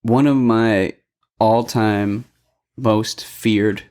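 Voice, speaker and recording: A man's voice: lowish voice, steady tone, extremely slow, a little mellow. A man hosting a podcast, chatting casually and teasing his guest with dry jokes. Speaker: podcast host.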